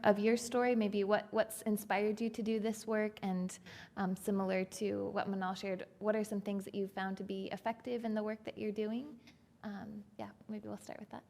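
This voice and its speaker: A woman's voice: mid-range voice, a little mellow. A woman moderating a panel discussion who thanks speakers and introduces them graciously.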